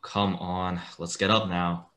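A man's voice: low-pitched, little pitch movement, slow, a bit bright. A man playing a sardonic but deferential asylum orderly in a radio play. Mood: dutiful.

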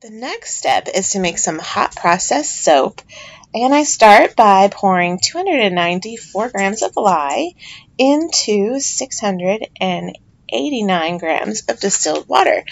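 A woman's voice: mid-range voice, animated, unhurried, vocal fry, dull audio. Chatty middle-aged woman narrating a voiceover. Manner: chatty, self-deprecating, with fillers and restarts. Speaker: home soap maker. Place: home, recorded as voiceover.

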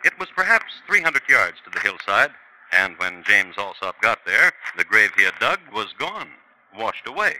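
Casting: sardonic radio crime narrator, rather low and very swooping pitch, clipped dry delivery. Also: male voice, very animated, moderate pace, sharp tone, heavily acted, rough recording. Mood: wry, dry. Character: wry, deadpan male crime-story narrator. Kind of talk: scripted 1950s radio narration. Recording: old radio recording, faint noise.

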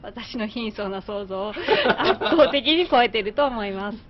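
A woman's voice: medium pitch, normal speed. A young woman giving a polite on-location food report as a reporter. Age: young adult. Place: small dim restaurant booth. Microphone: handheld microphone. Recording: dull sound with room noise.

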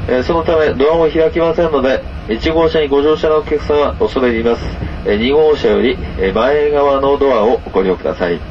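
Male conductor, formal halting PA announcement, muffled speaker, loud train rumble behind. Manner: formal, halting with many filler pauses. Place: inside a moving sleeper train. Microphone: camera recording the train's PA speaker. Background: train running noise.

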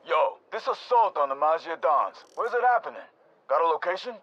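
A scornful New mob goon, gossipy and streetwise. His voice sits fairly high and swings around in pitch, heard through a dulled, radio-like feed. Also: very animated, fairly quick, fairly bright tone, lo-fi sound, a little hiss or hum. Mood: irritated. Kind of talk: video game voice acting.

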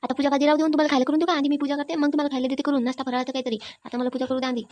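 A woman's voice: high voice, level tone, a little mellow, slight background noise, lightly acted. A woman voicing a nagging, exasperated village wife in a cartoon comedy, scolding with a rural Maharashtrian accent. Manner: rapid-fire scolding. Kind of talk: amateur cartoon comedy dialogue.

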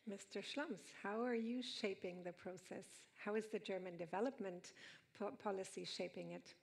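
A woman's voice: medium pitch, fairly even intonation, gravelly. Woman moderator asking panel questions. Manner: questioning, brisk.

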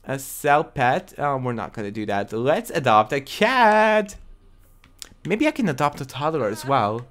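Young man, non-native English speaker, animated pitch, chatty and excitable, gaming stream, desk mic. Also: unhurried. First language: not English. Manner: chatty, excitable, talks to chat viewers. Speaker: livestream host. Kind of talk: casual gaming livestream chat.

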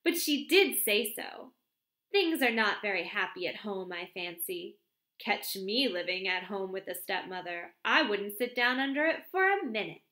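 A woman's voice: medium pitch, expressive, moderate pace, sharp tone. A woman voicing a chatty, arch young secretary who gushes and gossips in an audiobook reading. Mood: gossipy. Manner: chatty, breathless, exclamatory. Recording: roomy echo.

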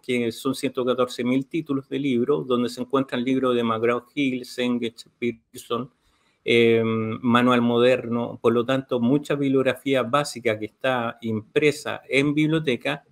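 A middle-aged man with a Chilean Spanish accent, explaining services step by step on a video call, with many "eh" fillers. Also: medium pitch. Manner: steady, detailed, with frequent "eh" fillers. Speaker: university library official. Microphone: video call webcam.

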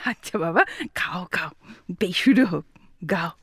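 A woman's voice: fairly low pitch, animated, measured pace, fairly bright tone, husky, dry recording, clean studio sound, heavily acted. A woman playing a nagging, exasperated older wife in a comic Bengali audio drama, scolding theatrically with a Bengali accent. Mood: grudgingly fond.

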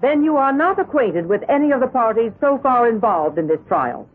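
An actress playing a formal American prosecutor in a radio drama, putting precise questions. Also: female voice, a little high, lively intonation, normal speed, slightly rough. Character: formal, brisk female prosecutor questioning in court. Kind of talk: 1970s radio drama acting. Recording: muffled old radio broadcast.

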